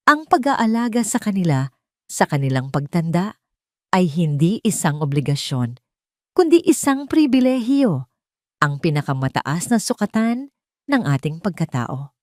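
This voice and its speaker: A woman with a Filipino accent narrating a sentimental scripted story in a measured, earnest way. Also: low voice, a little mellow, no room sound, clean studio sound. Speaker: narrator and host of an audio story channel.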